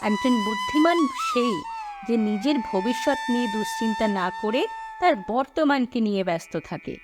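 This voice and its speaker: Woman playing a cartoon village wife, clever and fretful, high voice, emphatic, plaintive, music behind. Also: huge pitch swings, moderate pace. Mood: reasoning. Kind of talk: cartoon story dialogue.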